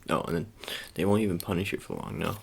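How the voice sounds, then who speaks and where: A man doing soft-spoken ASMR roleplay as a shady sports agent, talking in a halting, casual, coaxing way and repeating his phrases. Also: low voice, fairly even intonation, vocal fry, slight background noise.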